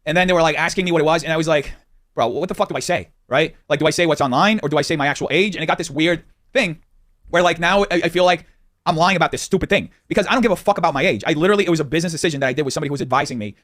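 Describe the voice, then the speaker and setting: A man's voice: on the high side, very fast, fairly bright tone. A man in his thirties talking defensively on a podcast, swearing casually and filling with "like". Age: 30s. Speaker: podcast host.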